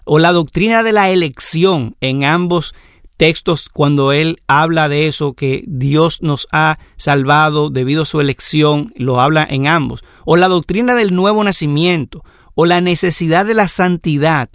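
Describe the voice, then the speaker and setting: Male Bible teacher, slightly raised pitch with some fry, patient explanatory lecture delivery. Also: a bit bright, no room sound, studio quality. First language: Spanish. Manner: measured, explanatory, lists examples. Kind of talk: lecture on scripture.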